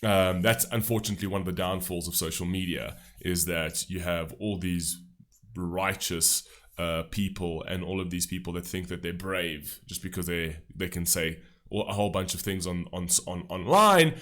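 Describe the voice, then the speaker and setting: A man's voice: low-pitched, normal speed, fairly bright tone. A man with a stuffy nose from a cold, talking loosely in a casual reaction commentary with many fillers. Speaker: music reaction host.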